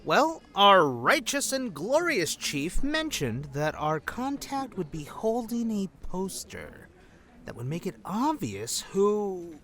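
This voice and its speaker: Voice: mid-range voice, wildly swooping pitch, takes it slowly, bright, forceful tone, heavily acted. A performer playing a wry, uneasy male undercover agent in an audio drama, sardonic and hesitant. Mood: wry, groaning.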